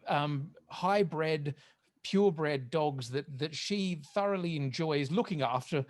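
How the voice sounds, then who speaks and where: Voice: medium pitch, takes it slowly. A podcast panelist explaining things in casual, conversational talk.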